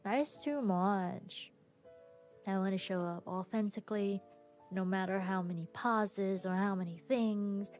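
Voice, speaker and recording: A tired young woman hosting her own podcast, talking slowly and softly with long pauses and small laughs. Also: mid-range voice. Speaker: podcast host and streamer. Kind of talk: unscripted reflective podcast talk. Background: soft background music.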